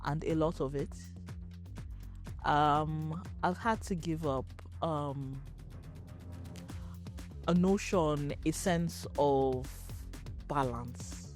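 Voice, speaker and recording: A woman from Nigeria, a startup co-founder answering interview questions in a measured, reflective way, pausing often with fillers. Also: sits low, a little mellow, faint music behind. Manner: measured, thoughtful, pauses with fillers.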